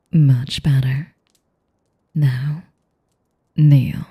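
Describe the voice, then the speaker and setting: Woman acting a breathy, very low-voiced vampire mistress. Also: warm tone, no room sound, studio quality, exaggerated voice. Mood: commanding, seductive. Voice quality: breathy, husky whisper with creaky low tones. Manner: slow, commanding, cooing, condescending endearments. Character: sadistic seductive female vampire mistress, possessive and condescending. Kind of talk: intimate audio roleplay, ASMR-like whispering.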